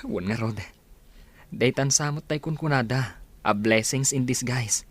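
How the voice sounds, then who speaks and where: A mid-pitched male voice of an actor in Ilocano radio drama. He is a good-natured, helpful older brother of humble working stock. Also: measured pace, natural voice. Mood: easygoing.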